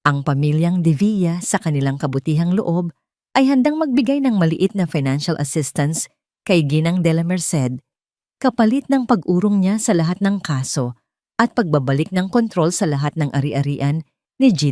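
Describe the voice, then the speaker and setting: A woman's voice: deep voice, animated, normal speed, warm tone, dry recording, studio quality. A woman voicing an arrogant male lawyer who talks in a confident, formal, condescending way in an audio drama. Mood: smug. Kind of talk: Tagalog audio drama storytelling.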